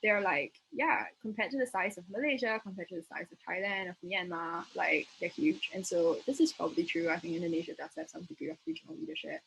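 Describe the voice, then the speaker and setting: A woman's voice: mid-range voice, animated, fast talker, vocal fry, hardly any pauses, fairly bright tone, poor audio. A young woman from Singapore with a Singaporean accent, giving an informal explanatory lecture as a debate trainer, casual and hedging as she thinks aloud. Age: young adult. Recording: noticeable background noise.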